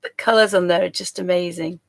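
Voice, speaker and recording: Croaky, gravelly woman chatting on stream. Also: on the low side, steady tone, a little fast. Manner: chatty, rambling, often laughing and trailing off. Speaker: live-stream host. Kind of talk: casual live-stream chat.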